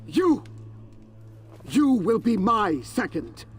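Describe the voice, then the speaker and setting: An actor playing an indignant, blustering warlord in a video game, with emphatic delivery. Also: male voice, sits high, big pitch swings, very slow, husky, faint music behind, heavily acted. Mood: indignant disbelief. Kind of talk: dramatic video game cutscene acting.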